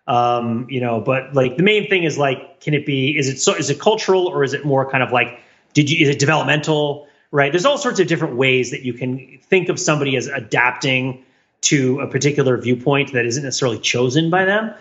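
A man's voice: mid-range voice, a little fast, lo-fi sound. A man co-hosting a podcast in casual discussion, talking in a rambling, wry way and often questioning his own points. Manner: rambling, self-questioning, wryly animated argument. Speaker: podcast co-host.

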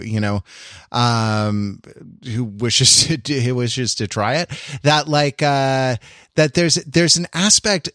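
A man co-hosting a podcast in casual conversation, rambling and halting as he circles back to rephrase his thoughts. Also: mid-range voice, fairly bright tone, no echo, clean studio sound. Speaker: podcast co-host.

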